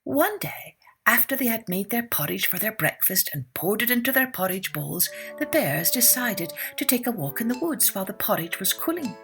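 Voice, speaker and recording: Woman storyteller, children's fairytale narration, lively swooping pitch, creaky edges, dramatic emphasis, music behind. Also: moderate pace. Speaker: storytelling podcast host.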